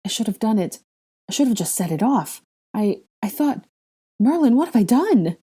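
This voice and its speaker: Young witch heroine for an audiobook, read by a woman: desperate, sincere, urgent, mellow in tone. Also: mid-range voice, moderate pace, close to an everyday voice. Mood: miserable, shocked. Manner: pleading, urgent, troubled.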